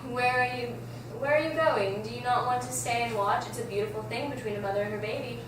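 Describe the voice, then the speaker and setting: A woman's voice: on the high side, fast, lightly acted. A woman reading the part of an easygoing big sister and new mother in an amateur script table read. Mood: teasing. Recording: very echoey room, distant mic, clear background noise.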